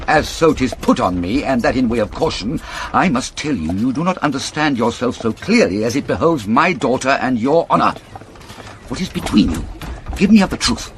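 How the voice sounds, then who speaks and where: A man's voice: medium pitch, a little hiss or hum, lightly acted. An actor with a British accent playing a pompous, fussy older father and counsellor, lecturing in classical Shakespearean style. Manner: declamatory, sententious, lecturing.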